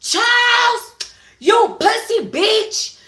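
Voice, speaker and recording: A woman's voice: very high-pitched, drawn-out words, vocal fry, pushed and bright, reverberant, pitched above the performer's own voice, exaggerated voice. A woman voicing a furious, scorned young woman who screams taunts in a foul-mouthed, confrontational way, in an audiobook-style story reading. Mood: enraged.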